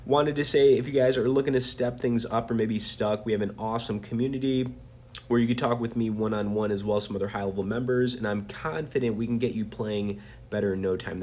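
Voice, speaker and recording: A man's voice: medium pitch, darkish tone. A man with a cold, talking loosely and conversationally as a poker video host reviewing his hands, with lots of fillers and chummy asides to viewers. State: has a cold. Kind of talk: casual hand-review commentary.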